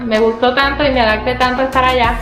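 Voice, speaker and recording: A high-voiced Cuban woman whose accent carries a Dominican lilt, chatting through her own story and calling out to her audience. She sounds like she is in an echoey room, with music playing behind her. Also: steady tone, quick pace. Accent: Dominican-tinged Cuban Spanish. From Cuba. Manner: chatty, addresses viewers as "señores". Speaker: storyteller in a reacted video. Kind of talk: personal story told to viewers.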